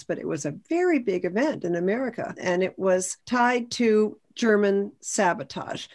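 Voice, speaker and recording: Woman historian explaining events, measured delivery. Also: mid-range voice. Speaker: historian panelist. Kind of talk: explanatory history talk in a panel discussion. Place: indoor room, on a video call. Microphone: video call microphone.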